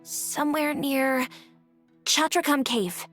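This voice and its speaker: A woman playing a weary female character in a video game, unhurried in manner. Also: high voice, a bit bright, faint music behind. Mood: resigned.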